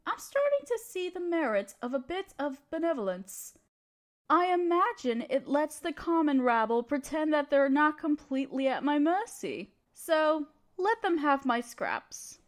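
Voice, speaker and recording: Woman acting as an evil queen, high voice, imperious, condescending, theatrical, scheming tyrant, audio drama roleplay. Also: sing-song, unhurried. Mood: disdainful. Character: scheming evil queen, haughty tyrant gloating to her advisor.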